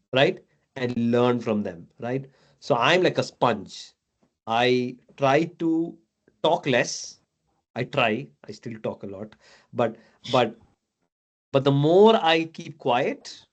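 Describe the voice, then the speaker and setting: A man in his thirties, raised in Dubai, talking casually as a mentor, chatty, with many fillers and tag questions. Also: medium pitch. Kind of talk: casual video call chat.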